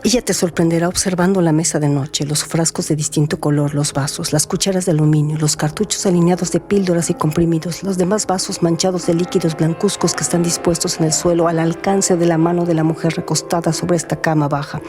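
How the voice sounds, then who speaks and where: A woman narrating a literary radio drama in a measured, atmospheric storytelling manner. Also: on the low side, fairly even intonation. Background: music.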